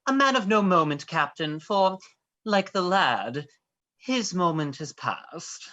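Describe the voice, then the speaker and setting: A woman plays a genteel, sardonic male villain in a stage drama. The voice is lowish for a woman and sweeps widely in pitch, unhurried and florid, full of mocking courtesy. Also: animated, fairly bright tone, muffled recording. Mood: smug, sardonic. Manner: florid, mannered, mocking formality. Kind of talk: theatrical stage play reading.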